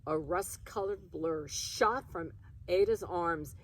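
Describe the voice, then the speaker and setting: A woman reading a children's story aloud in an expressive storytelling style. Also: fairly low pitch, slow pace, distant mic. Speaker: reader of a children's book.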